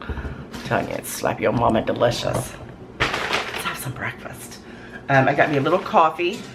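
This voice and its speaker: A hoarse woman with a cold, chatting in a rambling, folksy way with lots of ums in her home kitchen. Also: extremely low pitch, sing-song, normal speed. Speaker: cooking vlogger. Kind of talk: casual chat to camera. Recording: noisy home recording.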